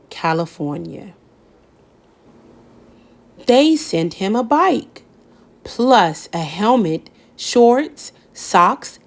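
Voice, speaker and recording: Slow, gentle woman reading a picture book. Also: mid-range voice. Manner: slow, gentle and expressive, voice rising on key words. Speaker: storybook reader. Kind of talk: children's read-aloud narration.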